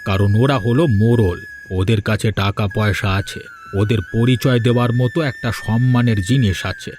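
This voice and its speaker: A man playing a weary, regretful ageing village father in a Bengali cartoon, measured and grave in delivery. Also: mid-range voice, steady tone, noisy background, lightly acted. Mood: bitter, regretful. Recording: background music under dialogue.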